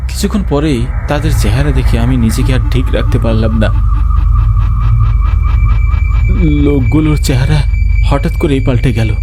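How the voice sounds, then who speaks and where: Dark-voiced man narrating horror. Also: medium pitch, slight background noise. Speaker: story narrator. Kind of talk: dramatic first-person horror narration.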